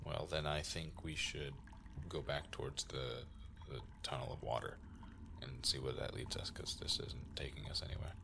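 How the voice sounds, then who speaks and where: A man's voice: very deep voice, steady tone, moderate pace, gravelly, noisy background. A man playing a tabletop role-playing game, talking casually and tentatively as he thinks his moves through aloud.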